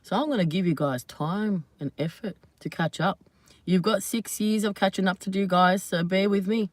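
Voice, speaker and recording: A woman hosting her own channel, chatting casually and at length to her viewers, slightly hoarse, rambling and laughing often. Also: mid-range voice, moderate pace, dry recording. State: hoarse from screaming. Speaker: channel host. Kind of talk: casual live vlog chat to viewers.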